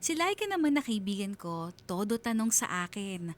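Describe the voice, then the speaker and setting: A woman's voice: very animated, moderate pace, lightly acted. An actress with a Filipino accent playing a wistful woman of thirty confiding her love story as radio drama narration. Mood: uneasy, confiding. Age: 30s. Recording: faint rumbling background noise.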